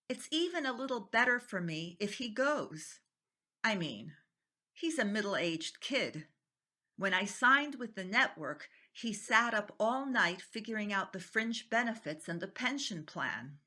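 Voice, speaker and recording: Woman acting a bright, animated, feisty nonconformist writer. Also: on the high side, unhurried, heavily acted. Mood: wry, exasperated. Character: unemployed nonconformist writer pleading to keep beloved nephew, feisty. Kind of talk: dramatic stage monologue reading.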